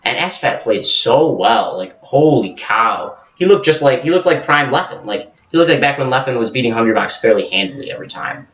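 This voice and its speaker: A man's voice: mid-range voice, expressive, brisk, hardly any pauses, a bit bright. Man chatting esports in a roomy, distant recording. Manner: enthusiastic fan talk with slangy exclamations. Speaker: co-host of an esports recap show. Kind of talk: casual esports recap chat. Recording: roomy, distant mic, dull lo-fi sound.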